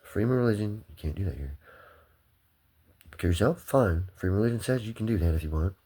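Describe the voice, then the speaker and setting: A man's voice: on the low side, lively intonation, fast talker, mellow, husky. A man talking to the camera in a rambling, swearing monologue that jumps between topics.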